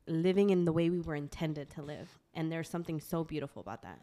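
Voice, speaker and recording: Woman, kinda low voice, blunt, curses, laughs a lot while chatting. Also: fast, mellow. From Texas. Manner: frank, swears, laughs often. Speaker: podcast guest. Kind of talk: casual chat.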